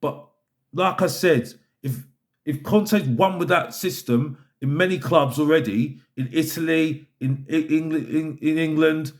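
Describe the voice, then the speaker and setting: A man giving an opinionated football fan rant, exasperated and emphatic, repeating his phrases to drive points home. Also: fairly high pitch. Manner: exasperated, fed up.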